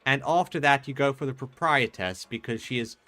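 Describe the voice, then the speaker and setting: Bright-voiced man doing casual game commentary. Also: medium pitch, soft background music. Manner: halting, repeats words mid-sentence. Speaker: game streamer.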